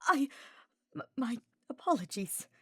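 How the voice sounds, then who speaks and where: A woman's voice: high-pitched, sing-song, measured pace, sharp tone, slightly rough, no room sound, studio quality, voice pushed up, full character voice. A woman voicing an earnest, anxious young male knight in a fantasy audiobook, with formal, emphatic diction. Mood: sheepish.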